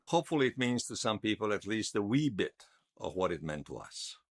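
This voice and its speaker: An actor playing a gentle, wistful older widower in an audio drama, teasing and tender, with a hesitant, stammering delivery. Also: male voice, lowish voice, very animated, a little fast, no echo, studio quality. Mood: wistful reminiscence. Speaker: interviewee telling his life story. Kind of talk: audio drama acting, personal reminiscence. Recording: music behind the voice.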